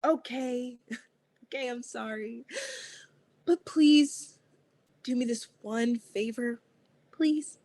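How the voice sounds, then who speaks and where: A woman's voice: a little high, slow pace, pauses often, warm tone. A woman reading the part of a scheming teenage girl who begs her friend for a favor, at a table read. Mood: pleading.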